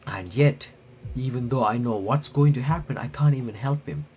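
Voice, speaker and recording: A man reading game text aloud and adding casual, halting commentary as a playthrough streamer. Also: mid-range voice, warm tone, a little hiss or hum. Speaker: YouTuber playing and reacting to a visual novel.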